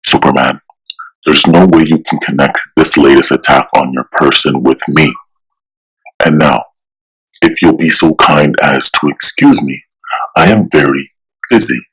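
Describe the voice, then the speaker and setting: A man voicing an arrogant, menacing billionaire villain in a pompous, deliberate, threatening way for a comic-book drama. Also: rumbling low, fairly bright tone, slightly rough, full character voice, rough recording. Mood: smooth, dismissive.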